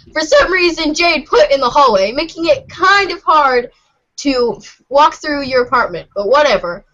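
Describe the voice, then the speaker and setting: A high-pitched woman reads comic narration out loud in an informal, giggly manner. The recording is a little dull and lo-fi, like a hobby podcast. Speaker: reader on a fan podcast. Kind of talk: animated read-aloud of webcomic narration.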